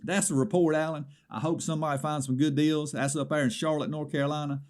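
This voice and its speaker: A man's voice: a little high, steady tone, fast, darkish tone, close to an everyday voice. A man playing an indignant small-town caller with a Southern American accent, rambling through a comedy swap shop report with exasperated asides. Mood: folksy, friendly.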